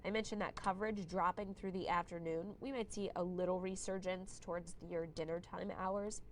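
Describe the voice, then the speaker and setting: A young adult woman meteorologist giving a friendly, conversational live weather forecast while fighting a cold, now and then clearing her throat. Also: fairly low pitch, fairly even intonation, moderate pace, a little hiss or hum. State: has a cold, slightly hoarse.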